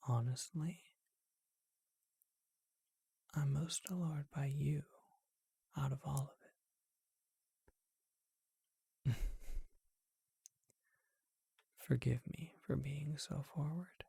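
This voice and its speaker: Breathy whispered vampire bookseller, acted by a performer, courteous, softly flirtatious, unhurried, close and dry ASMR roleplay. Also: mid-range voice, steady tone, no echo, exaggerated voice. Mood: tender, allured. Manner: slow, hesitant, genteel formal phrasing. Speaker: bookshop owner.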